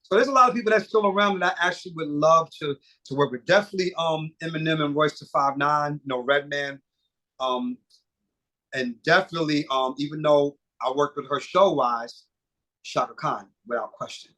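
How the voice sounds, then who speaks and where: A middle-aged man, a singer and producer, chatting casually as an interview guest, animated and swearing freely with many fillers and restarts. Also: on the high side, brisk, rough recording.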